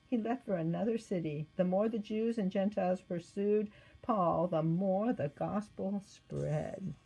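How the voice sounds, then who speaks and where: A woman reading a scripted story aloud as narrator, with expressive delivery. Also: mid-range voice, measured pace, gravelly, slight background noise, rough recording. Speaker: storybook reader.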